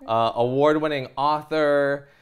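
A man's voice: fairly high pitch, fairly even intonation, measured pace, clear, resonant tone. Casual, rambling man hosting. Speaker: podcast host, TV producer. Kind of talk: casual conversational interview. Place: small furnished indoor set with curtains.

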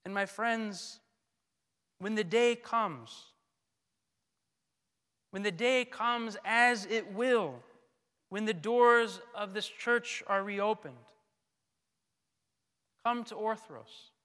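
A man in his thirties, a priest, delivering a scripted sermon in a solemn, deliberate manner with frequent pauses. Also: high-pitched, bright, forceful tone, clean tone. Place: large church, indoors at a pulpit. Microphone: gooseneck pulpit microphone. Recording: long church reverb.

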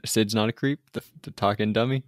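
A man's voice: lowish voice, fairly even intonation, a little fast, dry recording, studio quality. A man co-hosting a podcast, casually recapping a TV episode in a rambling way with many fillers and restarts. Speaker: podcast co-host.

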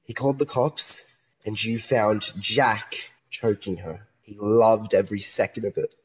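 An actor playing a troubled murder suspect with two personalities, brooding and erratic, in a modern radio play. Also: male voice, on the low side, unhurried, husky, rough recording. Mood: uneasy, confessing.

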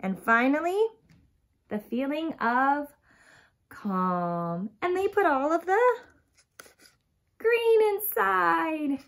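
A woman in her thirties reading a picture book aloud to young children in an expressive, dramatic way, stressing key words and addressing her listeners directly. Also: sits high. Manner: dramatic gasps and emphatic, drawn-out key words. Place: small furnished room at home, indoors.

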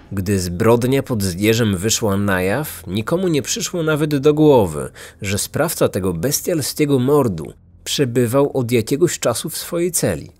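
A man's voice: medium pitch, a little mellow, a little hiss or hum. A man narrating a scripted true-crime podcast as its host, in a grave storytelling manner.

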